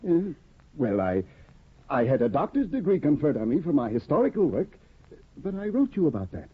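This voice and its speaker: An actor playing an eager, naive young scholar and newlywed husband in a 1950s radio drama, fussy and stammering. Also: male voice, a little high, very animated, a little fast, slightly rough. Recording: tinny old radio broadcast.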